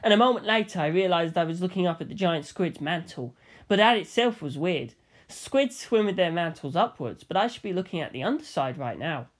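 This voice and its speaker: An audiobook reader telling a story in the first person. The voice sits at a middle pitch and moves around a lot, giving the narration an expressive, animated feel. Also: fairly quick.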